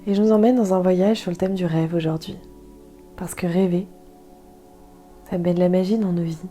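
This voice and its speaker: A woman guiding a yoga nidra meditation in a soft, calm, soothing way, leaving gentle pauses. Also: on the low side, soft background music. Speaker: guided meditation instructor.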